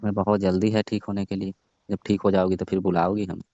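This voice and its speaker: Man very deep and flirty on a muffled call. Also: flat delivery, fast, dark tone, no room sound. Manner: laid-back, flirting, murmured agreement. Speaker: village quack doctor. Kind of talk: staged funny phone call. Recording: dry, muffled phone call recording.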